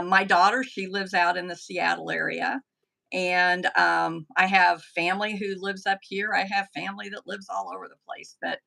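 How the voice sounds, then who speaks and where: Gravelly-voiced Southern woman. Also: fairly low pitch, sharp tone. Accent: Southern US, Tennessee. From southern United States. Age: middle-aged. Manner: chatty, with frequent ums and restarts. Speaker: political candidate, guest. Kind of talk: casual interview answers.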